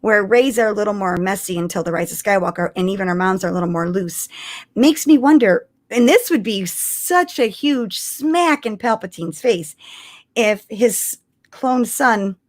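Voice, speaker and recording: A woman's voice: mid-range voice, lively intonation, normal speed. Woman chatting as a podcast co-host. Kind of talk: casual fan discussion.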